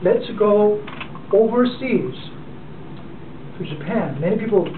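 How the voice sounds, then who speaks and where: A man with a Korean accent speaks haltingly, with frequent fillers and restarts, as he gives a speech to a club. He sounds far from the microphone in an echoey room. The sound is narrow and tinny, like a phone line, with clear noise underneath. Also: sits high, lively intonation. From South Korea. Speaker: club member giving a speech. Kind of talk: unprepared speech. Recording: distant, echoey, phone-like and noisy.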